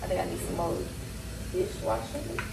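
A woman's voice: lowish voice, lively intonation, normal speed, gravelly. A young woman chatting to viewers as she washes dishes and tidies her apartment kitchen. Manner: chatty, talks to viewers while washing dishes. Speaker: cleaning vlogger. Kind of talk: casual clean-with-me narration. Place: small apartment kitchen, indoors. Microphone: handheld phone camera, recorded from a distance. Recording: echoey kitchen, distant phone mic, loud background noise.

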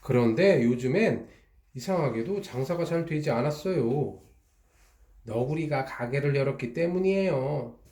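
A father reading a children's storybook aloud, in a slow, gentle, drawn-out way. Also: mid-range voice, animated. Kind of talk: storybook narration. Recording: echoey room.

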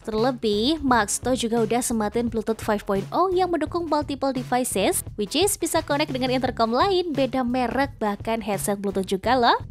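High-pitched woman promo narrator. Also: animated, normal speed, hardly any pauses. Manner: chatty, persuasive. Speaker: product review narrator. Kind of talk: scripted promotional voice-over. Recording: music bed under studio voice-over. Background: background music.

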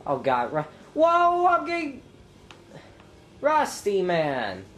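A young man with a stuffy nose and a clogged throat, commenting casually on his own racing game and thinking aloud with ums and sudden loud exclamations. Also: sits high, huge pitch swings, slow, soft background music. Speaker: gameplay commentator. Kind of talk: casual let's-play commentary.

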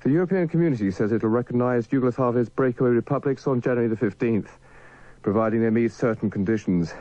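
A man's voice: medium pitch, mellow, dry recording, lo-fi sound. A man reading radio news formally from a script, on an old radio tape. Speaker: radio newsreader.

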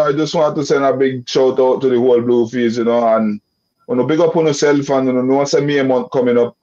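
Young guy, nicaraguan creole accent, mellow dark voice, rambly with lots of "you know", muffled video call audio. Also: medium pitch. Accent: Nicaraguan Creole English. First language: Creole English. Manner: loose rambling with many restarts and "you know" fillers. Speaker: guest. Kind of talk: casual video-call interview. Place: indoor room.

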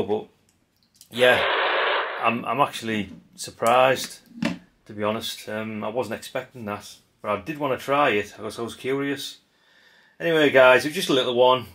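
Gravelly man, chatty. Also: mid-range voice, a bit bright. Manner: chatty, rambling, hedging with fillers. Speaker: radio hobby channel host. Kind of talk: casual hands-on demo. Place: indoor workbench room.

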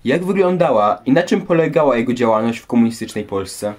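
Male host, average pitch, scripted presenting and questioning for history audio. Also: lively intonation, a little fast, darkish tone.

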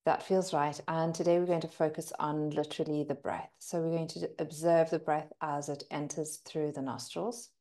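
Low soft mellow lady doing a guided breathing meditation, gentle n soothing, lots of pauses. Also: level tone. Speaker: meditation and yoga teacher.

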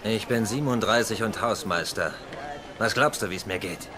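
An actor playing a bitter, sarcastic middle-aged janitor in a TV comedy dub, taunting with deadpan mock gratitude. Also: male voice, on the low side, normal speed, music in the background, lightly acted. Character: bitter, sarcastic 37-year-old hospital janitor. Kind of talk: German-dubbed TV comedy acting.

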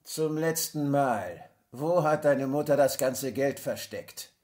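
A man playing a gruff, slow-witted kidnapper in an amateur audio drama, talking in a plodding way. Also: medium pitch, animated, darkish tone. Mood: impatient. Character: gruff male kidnapper, impatient, slow-witted, easily baffled.

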